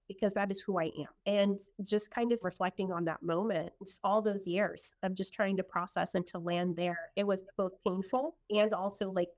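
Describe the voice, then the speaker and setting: A middle-aged woman from El Salvador speaks in an earnest, reflective way, sharing her own story in a conversation. Her voice sits a little low for a woman. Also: a little mellow. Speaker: guest in a conversation.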